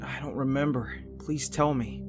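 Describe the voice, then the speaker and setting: An actor playing an earnest, intense, newly awakened angel soldier with brooding, dramatic delivery in a visual novel game. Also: male voice, mid-range voice, fairly even intonation, normal speed, lightly acted. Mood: pensive. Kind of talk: visual novel voice acting, introspective narration. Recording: music behind the voice. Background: background music.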